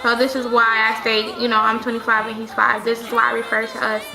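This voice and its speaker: A woman's voice: on the high side, completely flat delivery, a little fast, creaky, roomy sound, low-quality recording. A woman in her twenties talking casually to camera, rambling and correcting herself often. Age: 20s. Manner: rambling, frequent self-corrections and "like" fillers. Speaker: vlogger. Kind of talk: casual opinion monologue.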